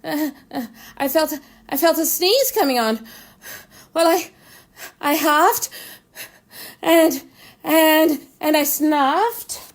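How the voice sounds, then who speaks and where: A woman voicing a storybook wolf, male, chatty and falsely innocent, with dramatic stammering and drawn-out words. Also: high voice, pitched above the performer's own voice, full character voice. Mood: dramatic, building up. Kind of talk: animated children's storybook reading.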